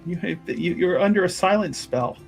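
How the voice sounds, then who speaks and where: A man's voice: high-pitched, expressive, normal speed, gravelly, soft background music. A man playing in a streamed tabletop game, talking in a casual, rambling way. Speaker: player in a tabletop roleplaying show. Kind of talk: casual, rambling livestream chat.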